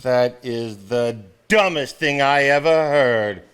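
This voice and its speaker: An actor as a skeptical, scheming country man with a medium-pitched voice. He talks in a folksy, dismissive way, in stage play style. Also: takes it slowly, a bit bright, clean tone. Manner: folksy, dismissive Southern phrasing. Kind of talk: live stage play acting.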